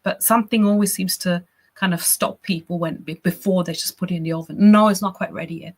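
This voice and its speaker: A chatty, encouraging woman from Austria coaches a live workshop, and her voice sits a little low. She keeps checking in with her listeners as she explains her ideas. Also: steady tone, fairly quick, breathy edge. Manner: chatty and encouraging, frequent "right?" and "you know". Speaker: business coach running a workshop. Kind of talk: casual live workshop teaching.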